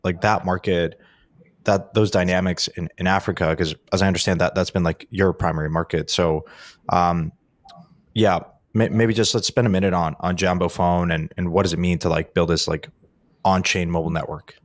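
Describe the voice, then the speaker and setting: A man's voice: low voice, fairly even intonation, normal speed, no room sound, studio quality. A man hosting a podcast, asking long, rambling questions full of restarts and "like". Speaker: podcast host.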